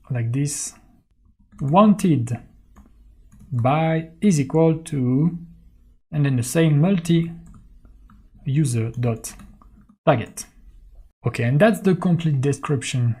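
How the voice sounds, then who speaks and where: Husky, mellow male tutorial instructor. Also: medium pitch, lively intonation, slow, pauses often. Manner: explanatory, often checking in with okay and right. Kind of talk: step-by-step screencast tutorial, unscripted.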